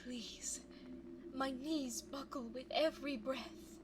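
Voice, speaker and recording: A woman playing a scheming, seductive female vampire in an ASMR audio roleplay, speaking formally and teasingly in a breathy voice that often drops toward a whisper. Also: high-pitched, background noise. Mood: feigned frailty, pleading. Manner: formal, coy, teasing, drawn-out phrasing. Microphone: close binaural microphone.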